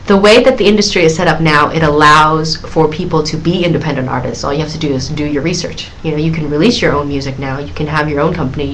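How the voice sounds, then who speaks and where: A woman's voice: low-pitched, a bit bright, a little hiss or hum, rough recording. A woman in her thirties hosting her own live question-and-answer stream, chatting loosely with lots of giggles, fillers and restarts.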